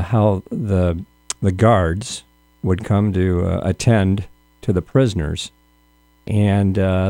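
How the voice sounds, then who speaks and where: A man's voice: lowish voice, gravelly, dark tone, no echo. A man hosting an interview, asking long, rambling questions full of fillers and restarts. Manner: rambling, hesitant, many fillers. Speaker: radio interview host. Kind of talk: conversational interview.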